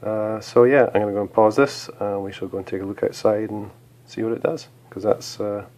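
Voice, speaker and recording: A man casually reviewing a flashlight for his channel, relaxed, with many ums and uhs, just over a bad cold. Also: lowish voice, a little fast. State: recovering from a cold.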